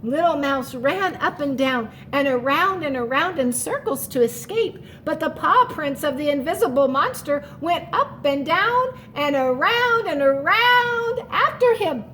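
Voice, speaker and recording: High-voiced woman reading a children's story. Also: measured pace, few pauses, slight background noise, low-quality recording. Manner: animated, explains the story in asides. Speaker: story reader. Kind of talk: expressive children's read-aloud.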